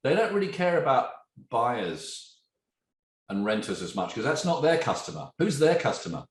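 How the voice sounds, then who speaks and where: A man in his fifties with an English accent softened by years in Australia, a tech entrepreneur telling his story as a podcast guest and quizzing his host. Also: mid-range voice, moderate pace. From England. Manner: animated storyteller, poses questions to his listener. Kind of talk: conversational podcast interview. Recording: roomy echo.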